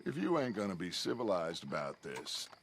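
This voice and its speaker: An actor playing a commanding, grandiose American outlaw leader in a video game, speaking in a deliberate, oratorical and menacing way over background noise. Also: male voice, low-pitched, big pitch swings. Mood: stern, formal. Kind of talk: video game cutscene acting.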